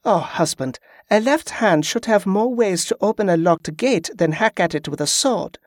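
A playful, clever woman with a lifted, lilting voice, teasing her husband with quick wit, as a male narrator puts her on. The sound is close and dry, with no room around it. It plays as audiobook storytelling. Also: sits high, expressive, dry recording, voice pushed up. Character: wry, clever adult woman, a thief.

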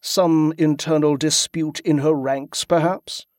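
A man's voice: on the high side, steady tone, takes it slowly, a little mellow, no echo, clean studio sound. A man voicing a worried old abbot. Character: worried older male abbot.

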